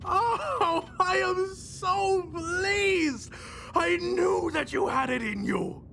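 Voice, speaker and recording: An actor playing a flamboyant, ancient mastermind villain in a video game, pompous, grandiose and mock-polite with theatrical delivery. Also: male voice, very high voice, expressive, very slow, creaky, noisy background, heavily acted. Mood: ecstatic mania.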